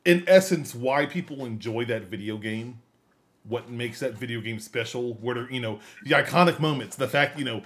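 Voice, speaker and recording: Emphatic man with a mid-pitched podcast voice. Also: normal speed, fairly bright tone. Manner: emphatic, repeats and rephrases his points. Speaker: podcast co-host. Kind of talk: casual discussion of films and games.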